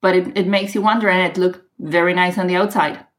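A woman with a Romanian accent, a realtor guest talking casually on a podcast, often pausing with ums and restarting. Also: on the low side, fairly even intonation. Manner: hesitant, many ums and restarts.

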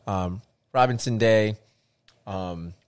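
A man with a very low voice talks through a casual chat and keeps circling back on himself with fillers and restarts. The sound is dry and close, with no room around it. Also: takes it slowly, clear, resonant tone, no room sound. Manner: rambling, animated, many restarts and fillers.

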